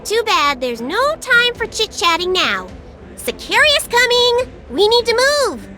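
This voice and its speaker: A woman's voice: very high voice, big pitch swings, a little slow, over music, full character voice. A woman playing a tiny, cheeky, bossy fairy guide in a video game, with a sassy, sing-song delivery. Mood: urgent, bossy. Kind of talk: video game cutscene acting.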